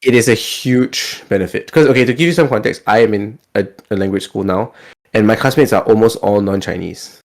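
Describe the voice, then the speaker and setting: A man with a Singaporean accent and a fairly low voice hosts a casual podcast. There is a gravelly fry in his speech. He is chatty and tends to repeat words as he goes. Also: fairly quick. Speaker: podcast host.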